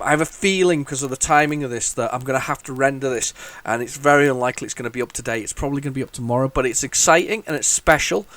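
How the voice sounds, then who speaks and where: A man hosting a retro gaming video, talking casually while loading old tapes, with a head cold and a rambling, restart-filled way of talking that jumps into excited bursts. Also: medium pitch. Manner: rambling, chatty, lots of restarts and sudden excited outbursts. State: has a head cold, sniffly.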